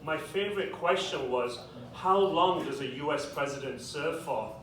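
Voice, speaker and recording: A young man with a British accent works a stand-up set, dropping dry, self-deprecating punchlines. His voice sits a little high. It is caught from a distance in a very echoey club, with the crowd's noise clear behind him. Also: lively intonation, normal speed. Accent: British English. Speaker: stand-up comedian. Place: comedy club with a live audience. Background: audience laughter.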